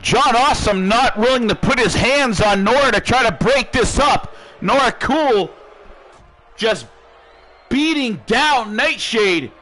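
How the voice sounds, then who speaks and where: A man calling wrestling play-by-play, excitable and raising his voice over the action. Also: extremely high pitch, takes it slowly, low-quality recording. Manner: excitable, calls action with shouting bursts. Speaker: play-by-play commentator. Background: music.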